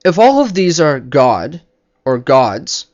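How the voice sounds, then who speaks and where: A man's voice: a little high, big pitch swings, slow, darkish tone, highs cut off. A man giving an unscripted spoken commentary on religion in a didactic, argumentative manner.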